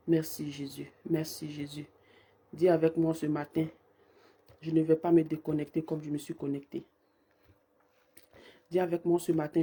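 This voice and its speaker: Woman prayer leader, hoarse from a cold; dark timbre, flat fervent repetitions. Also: low-pitched. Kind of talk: live-streamed morning prayer.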